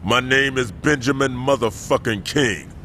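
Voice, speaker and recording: An actor playing a commanding, aging gang boss who talks in a slow, deliberate, menacing way in a video game cutscene. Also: male voice, medium pitch, sharp tone, a little hiss or hum.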